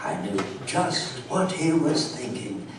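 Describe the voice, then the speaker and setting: A man's voice: mid-range voice, very animated, slow pace, background noise. A man playing a haunted colonial Englishman who narrates a horror tale in a theatrical, suspenseful on live radio theatre. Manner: theatrical, suspenseful, dwells on words. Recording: echoey hall, distant mics, live audience.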